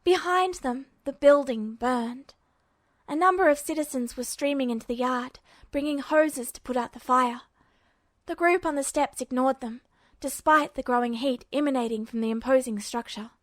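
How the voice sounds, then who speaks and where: A woman's voice: high voice, moderate pace, fairly bright tone, no echo. A woman reading scripted fiction aloud as a narrator. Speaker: audiobook-style narrator of fan fiction.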